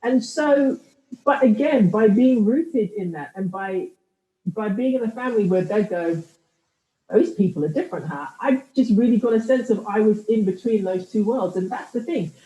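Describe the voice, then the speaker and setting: A woman's voice: mid-range voice, lively intonation, moderate pace, mellow, roomy sound, dull audio. A woman from the United Kingdom, a writer and podcaster, talking in a thoughtful interview and often restarting her sentences. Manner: reflective, thoughtful, many restarts. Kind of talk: conversational interview.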